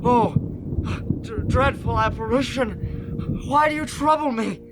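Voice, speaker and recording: A man's voice: very high voice, a little slow, noisy background. A man playing a crotchety, fearful old miser in an old-time radio play, stammering and exclaiming theatrically. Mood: terrified, pleading.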